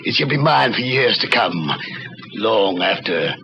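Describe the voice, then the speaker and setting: An actor playing an obsessed mad doctor who craves eternal life, ranting intensely in old radio horror style. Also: male voice, lowish voice, lively intonation, unhurried, vocal fry. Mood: fanatical, defiant. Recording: muffled, very noisy old radio recording.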